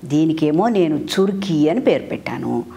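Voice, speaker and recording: An Indian woman narrates a children's story in a slightly low voice with very lively intonation. She speaks like a teacher, putting questions to the children and repeating phrases. English words and short explanations are mixed in as she goes. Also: moderate pace, vocal fry. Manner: mixes in English words and glosses.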